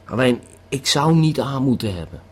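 An actor in a radio play voicing an uninhibited tripping holidaymaker, a man with a husky, halting voice who stammers and repeats words. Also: medium pitch, very animated. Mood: giggly, amused. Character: giggling, uninhibited tripping holidaymaker in swimming trunks.

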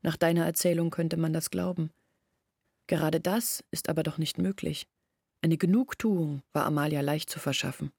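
An actress in a German radio play playing an earnest village woman who recounts events in a measured, literary way. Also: deep voice, fairly even intonation, creaky, a little mellow, slightly rough, no echo, studio quality, heavily acted. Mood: insistent.

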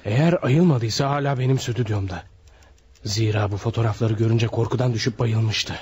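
An actor in a radio play voicing a fretful photographer who mutters anxiously to himself. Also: male voice, medium pitch, a little fast, a little mellow, husky, no echo. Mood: earnest. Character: anxious male photographer, middle-aged.

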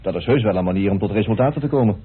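A man's voice: fairly low pitch, rapid-fire, slightly rough. An actor playing a self-assured, talkative, persuasive man in a radio drama. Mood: brisk, jovial. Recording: muffled, narrow-band old radio recording.

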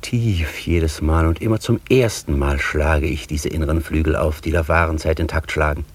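An actor playing a rapt, contemplative scholar, speaking solemnly and declaiming poetically in a radio play. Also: male voice, very deep voice, normal speed, husky. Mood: awed, rapt.

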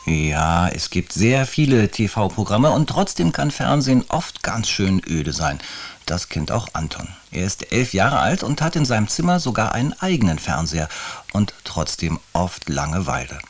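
A man's voice: lowish voice, sing-song, moderate pace. A man presenting a children's radio play with a scripted introduction.

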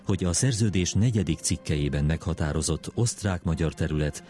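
Very deep, dark-voiced man reading formally. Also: soft background music. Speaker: document reader in a history programme. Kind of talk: formal read-aloud of treaty articles.

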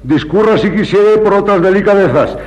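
High-voiced theatrical innkeeper played by a man. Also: moderate pace, natural voice. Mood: indignant. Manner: theatrical, old-fashioned diction. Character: evasive innkeeper in a Spanish classic, indignant. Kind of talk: vintage radio drama acting. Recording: dull lo-fi archive radio recording.

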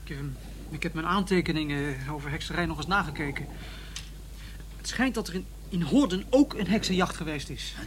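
A man's voice: on the high side, fairly quick, fairly bright tone, close to an everyday voice. A young man acting an earnest, obsessive researcher in a radio drama, hesitant and stammering yet insistent. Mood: earnest, flustered. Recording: noisy radio play with ambience.

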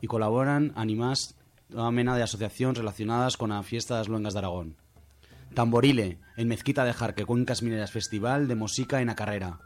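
A man's voice: mid-range voice, brisk, a little mellow. A man presenting semi-scripted radio listings, going through them hesitantly and sometimes repeating words. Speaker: radio show contributor presenting music and festival picks.

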